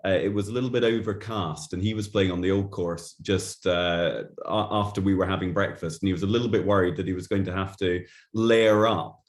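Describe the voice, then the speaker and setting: A man's voice: low-pitched, fairly even intonation, brisk, few pauses, roomy sound. A man with a Glaswegian accent, a guest author chatting in an interview, often stammering and repeating words as he starts sentences. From Glasgow, Scotland. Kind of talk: casual interview chat.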